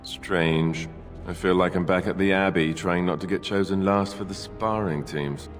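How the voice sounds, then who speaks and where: A man's voice: low voice, steady tone, moderate pace, soft background music, natural voice. A man playing a wry, dryly self-deprecating character in fantasy game dialogue. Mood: wry musing.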